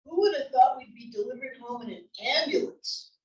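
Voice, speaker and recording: A no-nonsense old wife, read by a woman at a comedy table read, dry and impatient with her husband. Her voice is high and carries from a distance in an echoey room. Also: animated, a little fast, darkish tone, muffled recording, a little hiss or hum. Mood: weary.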